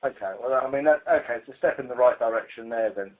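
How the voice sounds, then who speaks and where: A tinny phone line carries a British man with a medium-pitched voice. He talks in a halting, hesitant way, like a police officer briefing colleagues informally on a conference call.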